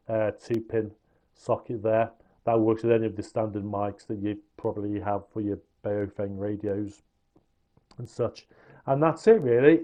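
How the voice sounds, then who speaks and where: Mellow-voiced man reviewing gear. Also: mid-range voice. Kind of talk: informal product review and demonstration.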